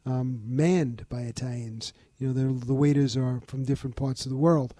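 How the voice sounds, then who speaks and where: Older New man, mellow dry voice. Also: medium pitch, steady tone, no room sound. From the Bronx, New York. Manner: measured, informative, lists details. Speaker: museum founder, guest. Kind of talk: interview conversation.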